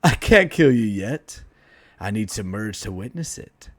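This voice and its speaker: A man's voice: lowish voice, lively intonation, unhurried, no room sound, clean studio sound. A man voicing a gloating, vengeful supervillain in a superhero story, mocking and boastful. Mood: menacing. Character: Voltage, gloating vengeful male lightning supervillain.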